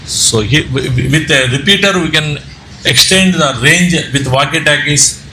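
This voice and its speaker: A man with an Indian Telugu accent giving an informal slide presentation, speaking haltingly with repeated words and self-corrections. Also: a little high, pushed and bright. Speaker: presenter, amateur radio operator and trainer. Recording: echoey room with background noise.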